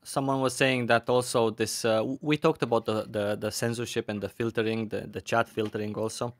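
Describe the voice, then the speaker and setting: A man hosting a livestream panel on a headset mic, reading viewer questions aloud with many pauses and fillers. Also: medium pitch, steady tone, no echo, studio quality. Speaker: host.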